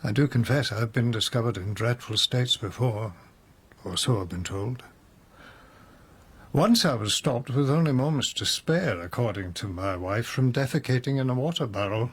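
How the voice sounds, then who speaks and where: A man's voice: medium pitch, husky. An actor in a radio drama playing a drunken nobleman under suspicion, sly and teasing in a languid, ornate, jesting way. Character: drunken nobleman murder suspect, sly, teasing, mock-humble.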